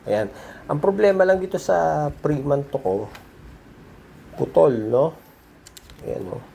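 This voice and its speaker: Male Filipino vlog host, casual show-and-tell, unhurried with some fry, noticeable noise behind him. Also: fairly high pitch, expressive, dark tone, dull audio. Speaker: host of a vintage audio video channel.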